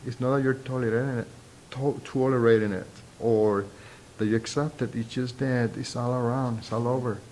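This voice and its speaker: A middle-aged man and native Spanish speaker with a thick Puerto Rican accent, giving reflective, halting, deliberate interview answers as a university professor. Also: fairly even intonation, darkish tone. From Puerto Rico. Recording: noisy, low rumble behind.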